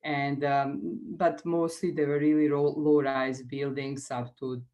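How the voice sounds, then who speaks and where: Older Serbian woman, low even-toned presenter. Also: muffled recording. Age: 60s. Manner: hesitant, halting phrasing with frequent pauses. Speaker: expert presenter, structural engineer. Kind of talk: online technical presentation.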